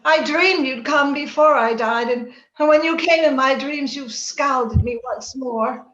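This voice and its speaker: Actress as fevered young lady, dreamy romantic radio acting, very high voice, echoey dull recording. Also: unhurried, a bit bright. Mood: yearning, sorrowful. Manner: dreamy, yearning, theatrical. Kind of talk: old-time radio drama acting. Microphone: recorded remotely over video call. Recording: echoey, dull video-call sound, music underneath.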